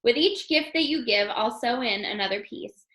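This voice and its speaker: A woman's voice: on the high side, lively intonation, moderate pace, a bit bright, echoey room. A woman voicing a generous, principled storybook quiltmaker with a firm, plain-spoken manner. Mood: steady, resolute.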